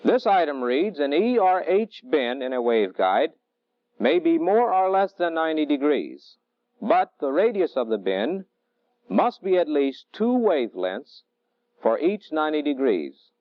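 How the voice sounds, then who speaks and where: Male technical instructor, middle-aged, fairly high voice with varied intonation, measured teaching delivery, old training-film sound. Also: very animated, dry recording. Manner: deliberate, didactic, measured phrasing. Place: indoor training film studio. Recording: dull, dry vintage film soundtrack.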